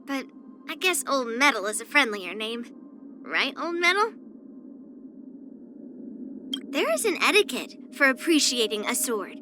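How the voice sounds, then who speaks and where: A woman's voice: high voice, bright, forceful tone, exaggerated voice. A woman voicing a spirited, proud young swordswoman in an anime-style video game, speaking in an earnest, blunt, slightly pouty way.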